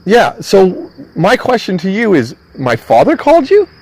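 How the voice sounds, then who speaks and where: High-pitched angry middle-aged man swearing. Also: huge pitch swings, moderate pace, a little mellow, low-quality recording. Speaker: van-dwelling vlogger. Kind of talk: agitated venting to a police officer. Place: outdoors at a rural property.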